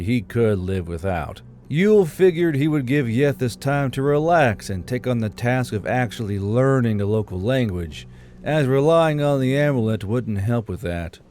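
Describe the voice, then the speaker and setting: A man reading scripted fiction as an audiobook narrator, in a measured storytelling manner. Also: mid-range voice, dark tone.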